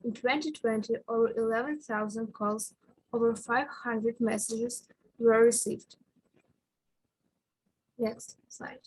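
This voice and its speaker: Young woman, husky and kinda monotone, reading slides a bit haltingly on a video call. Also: on the high side, dry recording, rough recording. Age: young adult. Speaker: youth ambassador presenter. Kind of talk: reading a prepared presentation.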